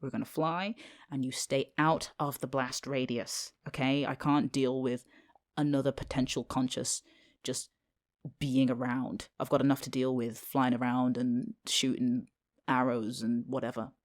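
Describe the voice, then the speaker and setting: A low-pitched British teenage schoolgirl, played by a woman, secretly a magical girl and thoroughly reluctant about it. She talks in a casual, halting way with slangy, sardonic exasperation. It has the loose feel of an ad-libbed audio roleplay. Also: flat delivery. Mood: resigned, awkward.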